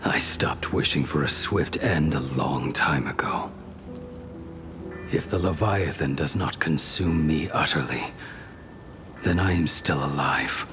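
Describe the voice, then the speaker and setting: A man playing a stoic, world-weary ancient swordsman in a video game, grave and terse, his phrases often sinking into a breathy hush. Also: very deep voice, slow pace, music in the background. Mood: grim resolve. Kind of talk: dramatic video game voice acting.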